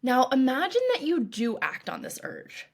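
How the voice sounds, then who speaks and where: A woman coach leading a guided meditation in a calm, reassuring, instructive way, with deliberate phrasing. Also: high voice, gravelly, husky. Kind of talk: guided meditation, scripted narration.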